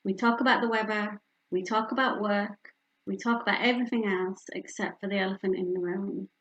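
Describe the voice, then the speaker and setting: A woman's voice: medium pitch, steady tone, fairly quick, muffled recording. Middle-aged Yorkshire woman, conversational speaker at a community event, candid and chatty with plenty of ums. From Yorkshire, England. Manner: warm, candid, personal storytelling with frequent fillers. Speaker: speaker from a hospice charity. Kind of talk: community talk with slides.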